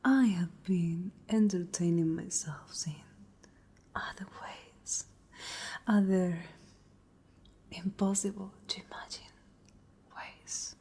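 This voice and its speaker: A woman playing a cruel, haughty vampire noblewoman in a whispered ASMR roleplay, breathy, deliberate and taunting. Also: fairly low pitch, fairly even intonation, mellow, exaggerated voice. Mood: coolly menacing. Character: cruel, menacing noble lady vampire of a castle.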